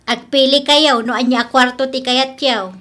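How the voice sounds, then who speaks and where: A woman's voice: high-pitched, fairly even intonation, normal speed, sharp tone. A woman playing a bossy, grumbling, money-minded older landlady in a radio comedy drama, scolding and nagging emphatically. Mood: businesslike, bossy.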